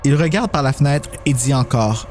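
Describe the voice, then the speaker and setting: A man's voice: mid-range voice, expressive, moderate pace, music in the background. A man reading scripted narration for a horror story dramatization.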